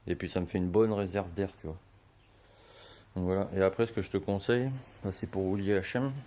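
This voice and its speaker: A hoarse man giving a relaxed, slangy how-to explanation full of fillers, in a home garage. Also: sits low, fairly quick. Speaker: motorbike hobbyist.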